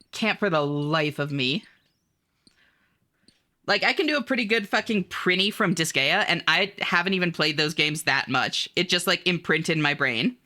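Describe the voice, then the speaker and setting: A woman's voice: fairly low pitch, pushed and bright. A woman streaming a game, chatting casually and upbeat with her viewers, full of "like" and "um" and the odd swear. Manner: reads viewer messages aloud. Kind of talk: casual live-stream chat, answering viewers.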